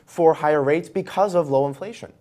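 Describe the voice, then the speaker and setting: A man's voice: on the high side, a little fast, darkish tone. A young American man working as a news host, interviewing guests in a broadcast financial style in a TV studio. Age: young adult. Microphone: lapel mic.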